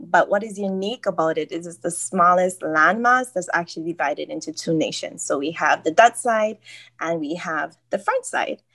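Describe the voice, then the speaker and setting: Caribbean woman with a low, warm voice. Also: normal speed. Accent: Caribbean English, Saint Martin. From Saint Martin. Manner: friendly, enthusiastic about her island. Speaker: educator, guest. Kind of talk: interview answers.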